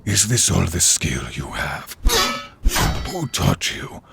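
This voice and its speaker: A man voicing a contemptuous, menacing undead warlord with a gravelly, breathy rasp in a scripted fantasy roleplay. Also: low-pitched, very animated, very noisy, full character voice. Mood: enraged. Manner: menacing, slow, theatrical. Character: contemptuous undead male warlord with a bitter grudge against knights.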